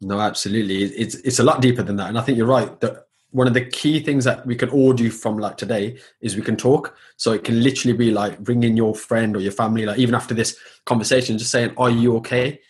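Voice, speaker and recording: A man's voice: medium pitch, steady tone, fast talker, slightly rough. A man talking as a guest on a podcast, reflective and sincere, with fillers like "like" and "um". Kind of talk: casual interview chat.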